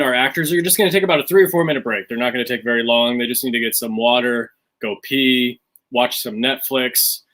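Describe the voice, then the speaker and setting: A man's voice: mid-range voice, a bit bright. Casting: male online host, informal and conversational, mid-range voice on a video call. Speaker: host of a theatre's online fundraiser. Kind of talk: casual livestream hosting chat. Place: remote online stream.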